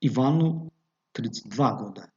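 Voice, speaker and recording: Man teaching grammar, slow deliberate examples. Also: medium pitch, animated, dark tone, slightly rough. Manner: explains slowly with many fillers, reads example phrases deliberately. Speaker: language teacher. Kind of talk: bilingual grammar lesson. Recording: dull lo-fi recording.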